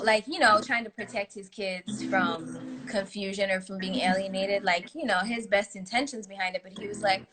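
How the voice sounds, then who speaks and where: A woman guest in a casual chat, relaxed and reflective, full of likes and you-knows. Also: medium pitch, rough recording. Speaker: guest musician.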